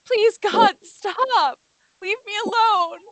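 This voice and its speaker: A woman's voice: extremely high pitch, slow pace, a bit bright, full character voice. A woman playing a terrified, desperate young woman fighting for her life in an amateur horror script read. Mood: terrified pleading. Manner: shrieking, pleading, breathless desperation.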